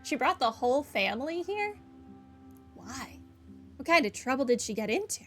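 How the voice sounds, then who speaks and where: A woman's voice: high voice, sing-song, normal speed, fairly bright tone, faint music behind. A woman giving casual commentary on a game playthrough, with wry, flippant asides. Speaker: gaming streamer.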